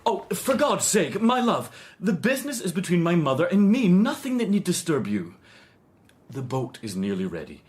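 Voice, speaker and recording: An actor playing an evasive Victorian bridegroom in a radio drama, theatrical and dismissive, with brittle forced lightness and a British accent. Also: male voice, high voice, huge pitch swings, moderate pace. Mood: exasperated, soothing.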